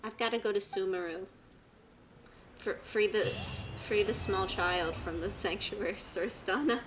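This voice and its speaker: A woman's voice: mid-range voice, a little slow. A woman streaming a video game, giving casual live commentary and talking back to the characters. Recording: clearly noisy, game sound behind.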